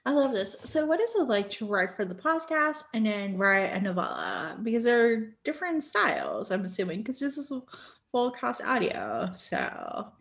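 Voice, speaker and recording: A woman's voice: on the high side, very animated, normal speed, gravelly, a bit bright. A woman hosting a casual podcast interview, asking questions in an enthusiastic, encouraging way.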